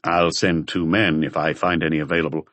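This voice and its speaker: A man voicing a calm, authoritative police chief inspector in an audiobook, terse and matter-of-fact. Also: a bass voice, brisk, dry recording, pitched below the performer's own voice.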